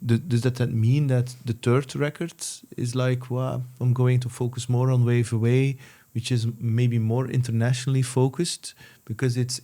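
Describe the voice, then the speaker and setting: A man hosting a casual interview, talking haltingly with many restarts and fillers. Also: mid-range voice, dark tone. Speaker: podcast host.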